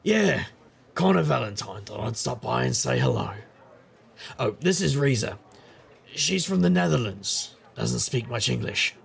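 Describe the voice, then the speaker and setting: An actor playing a gruff, world-weary middle-aged private investigator in an audio drama, narrating with wry noir weariness in a gravelly voice. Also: male voice, mid-range voice, big pitch swings, measured pace, full character voice. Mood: casual, evasive.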